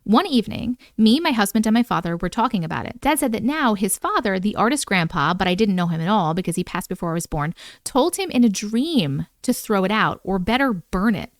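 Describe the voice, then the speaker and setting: A woman in her thirties reading viewer-submitted scary stories aloud in a bedroom, breaking off for chatty, animated comments. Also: medium pitch, fairly quick, few pauses, no echo, clean studio sound. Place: bedroom, indoors. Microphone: large studio mic on boom in front of her.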